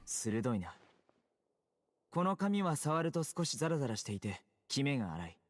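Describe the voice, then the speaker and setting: Man as a brisk, serious investigator. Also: medium pitch, very animated, no room sound, lightly acted. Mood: analytical. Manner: measured, matter-of-fact, analytical. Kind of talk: Japanese game voice acting.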